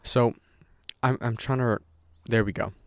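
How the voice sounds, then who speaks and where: A man's voice: medium pitch, steady tone, a little slow, dry recording, studio quality. A young man talking unscripted to his camera, rambling and swearing freely with many restarts. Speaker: content creator vlogger. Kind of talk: unscripted casual talk to camera.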